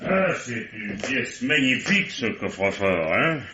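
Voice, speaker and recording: An actor playing a wily, genial police commissioner in an old French radio mystery, drawling falsely casual questions and musing asides. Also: male voice, mid-range voice, very animated, unhurried, bright, forceful tone, slight background noise. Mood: probing, musing. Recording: dull old radio drama recording.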